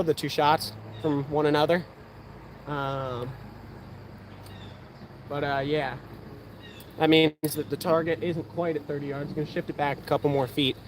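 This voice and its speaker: Young man, Pennsylvania accent, upper-middle pitch, casual livestream host, faint outdoor noise behind. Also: fairly even intonation, moderate pace, long pauses. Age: young adult. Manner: chatty, answers viewer questions while shooting.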